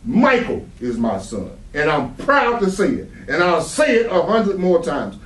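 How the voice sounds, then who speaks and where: A man's voice: high-pitched, very animated, unhurried, fairly bright tone, full character voice. A man playing an angry, rejecting father, commanding and contemptuous in a staged play reading. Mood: scornful, stern. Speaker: stage actor in a readers theatre. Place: live readers theatre room. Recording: roomy hall sound, far from mic.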